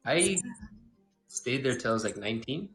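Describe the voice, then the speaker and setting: A man in his twenties from Hawaii, a guest chatting casually in a livestream interview, relaxed with many fillers. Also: mid-range voice, moderate pace, faint music behind. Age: 20s.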